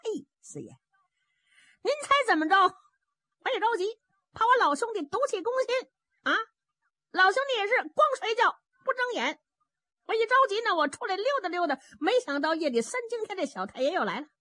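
A woman storyteller voicing an excitable, rambling, whining man over thirty, a hapless underling in a traditional Chinese tale. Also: very high-pitched, fairly quick, dry recording, studio quality, pitched above the performer's own voice, full character voice. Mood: agitated.

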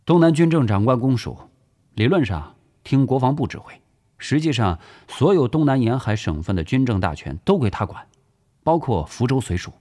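A man voicing a confident young staff officer in an audiobook, talkative, breezy and forceful. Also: mid-range voice, very animated, moderate pace, voice pushed up. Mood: explaining.

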